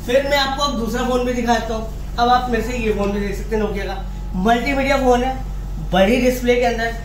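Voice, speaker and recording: A middle-aged man giving an emphatic, repetitive phone seller's sales pitch to camera. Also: a little fast. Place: small indoor shop office. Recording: roomy, distant mic, noisy background.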